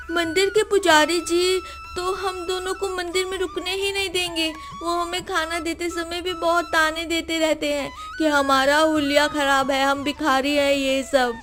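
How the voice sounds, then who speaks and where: A woman voicing a poor, worried orphan boy in an animated moral story, plaintive and questioning. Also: extremely high pitch, unhurried, voice pushed up. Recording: music behind the voice.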